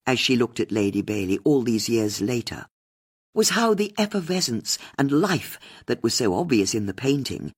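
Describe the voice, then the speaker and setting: A woman's voice: very deep voice, husky. A woman reading a mystery audiobook as scripted narration.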